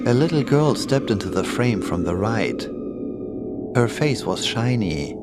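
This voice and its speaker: A man's voice: medium pitch, big pitch swings, a little slow. A man reading a scripted horror story in a dramatic, ominous way as its narrator. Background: creepy music and sound effects.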